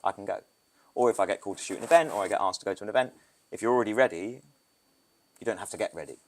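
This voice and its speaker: British man, very low voice, casual rambling podcast guest, photographer. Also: racing. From Britain. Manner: loose, rambling, with restarts and asides. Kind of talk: casual interview talk.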